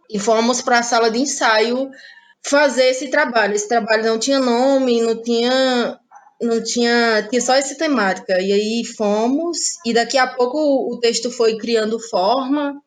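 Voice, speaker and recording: High-voiced woman. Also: moderate pace. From Ceará, Brazil. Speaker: interviewed playwright and actress. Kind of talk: conversational personal account.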